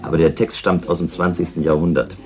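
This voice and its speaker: Deep, gruff, cynical tavern regular male actor. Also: flat delivery, fast talker, darkish tone, soft background music, close to an everyday voice. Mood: dry, mocking. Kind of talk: 1960s German radio drama acting.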